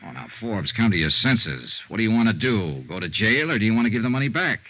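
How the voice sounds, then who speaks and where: A muffled vintage radio recording of a hard-nosed American male investigator. His voice is low and bright, with lively swings of pitch. He narrates and questions in a clipped, businesslike way. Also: quick pace, full character voice. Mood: pressing. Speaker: lead actor in a detective radio serial. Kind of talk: 1950s American radio detective acting.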